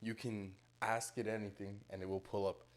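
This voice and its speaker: A man co-hosting a casual podcast speaks in a somewhat low voice. He talks animatedly and thinks out loud, working through ideas as he goes. His laugh comes easily. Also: little pitch movement, moderate pace. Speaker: podcast co-host.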